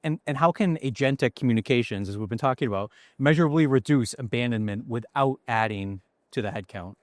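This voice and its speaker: A man's voice: mid-range voice, brisk, no room sound, studio quality. Enthusiastic male interviewer, frequent agreement. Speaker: interview host. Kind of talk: conversational interview.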